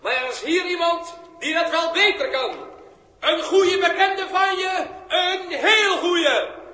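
An actor playing an exuberant, ceremonious broadcast presenter in a 1960s radio drama. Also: male voice, very high voice, takes it slowly, bright, forceful tone, exaggerated voice. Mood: earnest. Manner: theatrical, ceremonious. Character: exuberant, grandiose broadcast presenter addressing the world. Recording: noisy, reverberant hall, 1960s radio.

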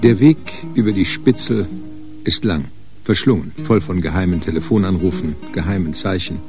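A man with a deep voice narrates a crime story for radio. His delivery is scripted and literary, with faint music behind. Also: normal speed.

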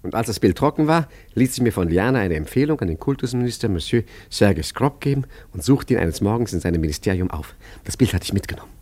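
A man playing a suave, self-assured forger in a radio play, smooth-talking and theatrical as he narrates and schemes. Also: lowish voice, animated, fast talker, hardly any pauses, a little mellow. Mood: reflective, proud. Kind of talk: radio play acting with first-person narration.

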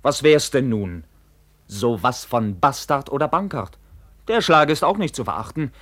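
An actor playing a proud, ardent and touchy young knight in a 1950s German radio drama, with impassioned, theatrical, clipped delivery. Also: male voice, medium pitch, lively intonation, moderate pace, fairly bright tone, no echo. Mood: bitter, sarcastic. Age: young adult.

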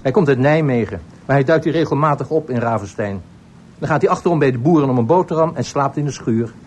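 A man's voice: medium pitch, fast talker, darkish tone, slightly rough, close to an everyday voice. A man playing a dutiful, matter-of-fact village police sergeant who reports deferentially, in a radio drama.

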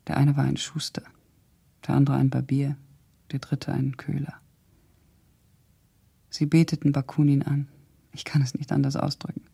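An actress playing a blunt, sardonic older woman with a husky, gravelly voice, indignantly loyal to an old friend, recounting memories in a German radio drama. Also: low-pitched, warm tone, full character voice. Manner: blunt, sardonic, deliberate storytelling.

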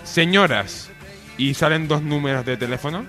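A man's voice: fairly high pitch, moderate pace, sharp tone, soft background music. A man with an accent from Spain, hosting a comedy radio show in casual, bantering style.

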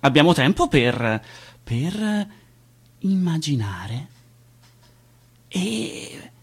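An actress playing a patient, coaxing guide in a theatrical radio play, breathy and repeating her phrases. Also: deep voice, very animated, very slow, heavily acted. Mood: encouraging, searching. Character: patient guiding voice coaxing a newborn through imagining her life.

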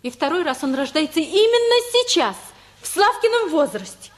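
A woman's voice: extremely high pitch, big pitch swings, normal speed. An actress playing an idealistic young wife who pleads eagerly and earnestly with her elders in a radio play. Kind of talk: stage-style radio play acting.

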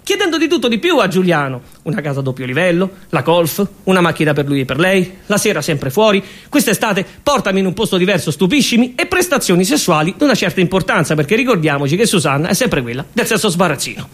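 A man, a comic stage performer delivering scripted storytelling in a deadpan, emphatic and repetitive way. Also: sits high.

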